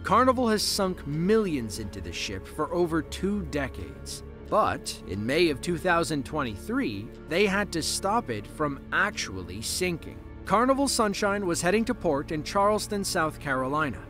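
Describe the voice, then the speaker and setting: A man narrating a scripted documentary in a lively storytelling way with dry asides. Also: fairly high pitch, moderate pace, hardly any pauses. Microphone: voice-over, studio mic. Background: soft background music.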